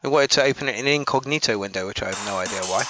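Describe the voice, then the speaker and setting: A man hosting a live online music review show, animated and laughing often as he chats about the tracks. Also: lowish voice, very fast. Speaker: radio show host. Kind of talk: casual chat over music, reviewing tracks. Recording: loud electronic music behind.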